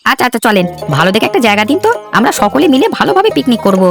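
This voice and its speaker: A woman's voice: on the high side, sing-song, rapid-fire, creaky, over music. A woman voicing an impatient, grumbling young village man in a cartoon, brusque and chiding. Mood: agreeable. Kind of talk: cartoon story dialogue.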